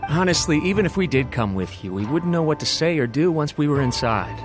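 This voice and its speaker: An actor playing a chatty, sympathetic townsman in a video game, conversational and expressive. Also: a little high, a little fast, close to an everyday voice. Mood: admiring. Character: chatty, sympathetic male townsman, speculating keenly. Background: game music.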